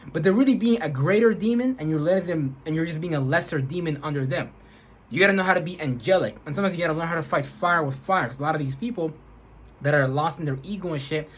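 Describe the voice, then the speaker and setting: Husky man on a rambling spiritual rant. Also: high voice. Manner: rambling, emphatic, heavy swearing. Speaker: vlogger. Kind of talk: profane spiritual rant to camera.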